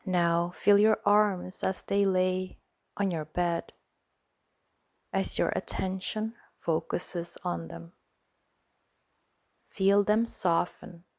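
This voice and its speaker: Woman, guided sleep meditation, very slow delivery, creaky voice, gentle, soothing, long pauses. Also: lowish voice, steady tone. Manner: soothing, gentle, unhurried, pausing between short phrases.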